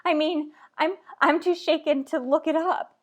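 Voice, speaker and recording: A woman's voice: sits high, fairly even intonation, normal speed, natural voice. A woman playing a frantic, demanding married woman, overwrought and melodramatic in a retro radio thriller style. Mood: desperate, indignant.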